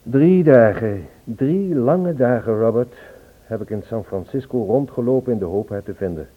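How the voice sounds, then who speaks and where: A man's voice: medium pitch, expressive, normal speed, natural voice. An actor playing an earnest, lovesick man searching for a woman in an old Dutch radio play. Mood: wistful narration. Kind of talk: Dutch radio play, acting and first-person narration. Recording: tinny phone-like old radio recording.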